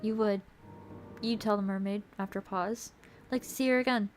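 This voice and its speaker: A woman's voice: medium pitch, fairly even intonation, normal speed. A woman streamer who narrates a visual novel aloud and chats casually with viewers. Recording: music playing behind the voice. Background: music.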